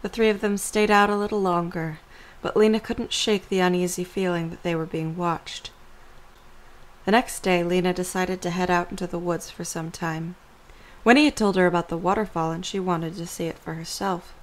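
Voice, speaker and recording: A woman's voice: fairly low pitch, steady tone, moderate pace, low-quality recording. A woman narrator reading scripted story narration for an amateur audio drama.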